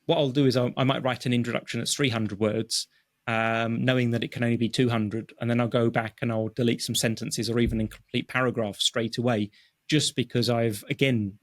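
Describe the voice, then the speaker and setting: A man who speaks English as his first language talks through his writing process as an interview guest. He is thoughtful and halting, full of fillers and restarts. His voice sits in a middle register and sounds dry and close to the mic. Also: mid-range voice, no room sound. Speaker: guest, technical writer. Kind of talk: conversational interview. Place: home office.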